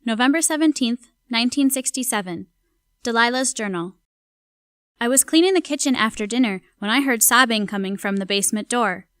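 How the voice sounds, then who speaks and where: A woman's voice: on the high side, a bit bright, clean tone, no room sound, studio quality. A woman playing an uneasy, curious kitchen servant who narrates her journal in an audio drama.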